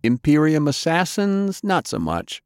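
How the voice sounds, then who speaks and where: A feisty young woman of an adventure audiobook, acted out by a man, brash and defiant. The voice is lifted well above his own pitch and taunts as it goes, recorded dry and close. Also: big pitch swings, measured pace, smooth, no room sound. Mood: defiant, mocking. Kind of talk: audiobook character acting.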